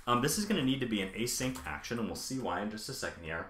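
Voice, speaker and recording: A man's voice: lowish voice, very animated, quick pace, noisy background. A man in his thirties live coding on a stream, thinking aloud with many ums and answering chat questions. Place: home office, indoors. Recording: echoey room.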